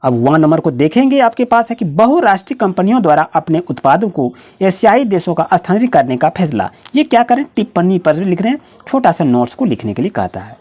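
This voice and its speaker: A woman's voice: fairly low pitch, sing-song, a little fast, hardly any pauses, mellow. A woman with an Indian accent teaching school students, dictating exam answers point by point in an instructional way.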